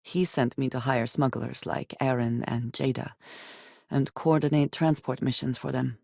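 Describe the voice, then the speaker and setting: An actress playing a guarded, formal woman spacefarer in a video game, loyal but wary and dry, with measured phrasing and few contractions. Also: rumbling low, steady tone, vocal fry, a little mellow, dry recording, exaggerated voice. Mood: grave. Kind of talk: video game voice acting, scripted dialogue.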